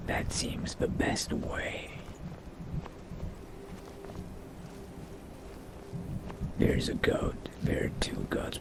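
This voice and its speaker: A man voicing a wary, hungry undead vampire in a game roleplay, muttering to himself in a breathy, gravelly whisper. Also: natural voice. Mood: wary, thirsty. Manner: slow, hushed, muttering to himself. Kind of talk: in-character video game roleplay narration.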